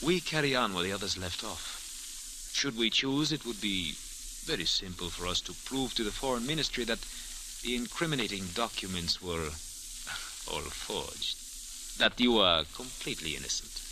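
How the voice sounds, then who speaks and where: An actor playing a suave, menacing villain in old radio drama, speaking formally and deliberately with sly insinuation. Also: male voice, fairly low pitch, lively intonation. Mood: smooth menace. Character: suave, menacing male villain offering a sinister deal. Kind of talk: 1940s-50s radio drama acting. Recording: hissy old-time radio recording.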